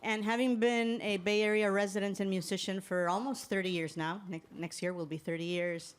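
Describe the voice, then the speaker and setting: A woman's voice: medium pitch, fairly even intonation, brisk, clear, resonant tone. Woman bandleader speaking on stage. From Venezuela. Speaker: band leader, percussionist. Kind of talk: live stage interview.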